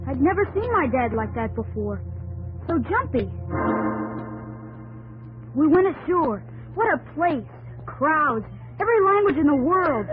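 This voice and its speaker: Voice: high voice, very animated, measured pace, long pauses, rough recording. An actor playing an earnest young man who narrates his own gold rush story in a 1950s radio drama. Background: music.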